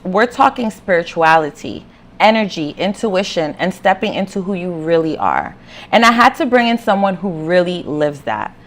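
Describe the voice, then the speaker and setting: A woman hosting a casual interview, talking in an informal, slangy way with occasional Spanish phrases. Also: medium pitch. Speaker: podcast host.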